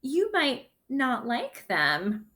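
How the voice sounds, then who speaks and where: A woman's voice: high voice, wildly swooping pitch, drawn-out words, fairly bright tone, voice pushed up, full character voice. A woman reading a storybook as a shy, earnest young boy who loves to draw, speaking sincerely and a little hesitantly.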